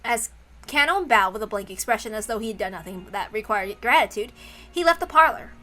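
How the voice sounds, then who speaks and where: A woman's voice: fairly high pitch, animated, fairly quick, sharp tone. A woman reading a visual novel aloud as its narrator. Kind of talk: story narration.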